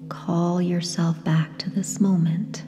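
A woman with a soft, breathy, whispery voice leads a guided meditation in short, gentle phrases with long pauses. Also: on the low side, level tone, slight background noise. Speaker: yoga nidra guide. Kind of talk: guided meditation, slow and soothing. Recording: long reverb, soft music behind. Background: sound bath music.

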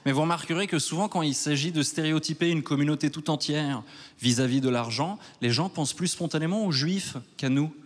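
A man in his thirties from Switzerland doing a stand-up set on a large theater stage with a handheld mic. He talks in a wry, self-mocking way and works up to loud mock outrage. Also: a little high, a little fast. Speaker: stand-up comedian. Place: large theater stage before a live audience.

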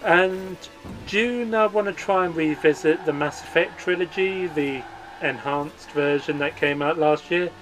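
A man's voice: fairly high pitch, a little slow, a bit bright, slight background noise, rough recording. A man hosting a gaming channel, giving an earnest, upbeat channel update read as a voice-over.

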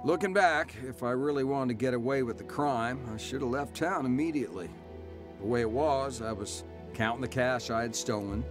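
A man acts a hardened convict looking back on his life in a radio drama. He narrates in the first person at a middling pitch, with music clearly playing underneath. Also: moderate pace, close to an everyday voice. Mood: wry, hard. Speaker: narrator and lead actor.

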